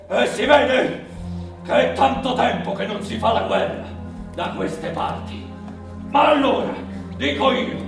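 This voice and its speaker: An actor playing a pompous, war-loving army sergeant, declaiming emphatically on stage. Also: male voice, very high voice, exaggerated voice. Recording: very echoey room from far off, music.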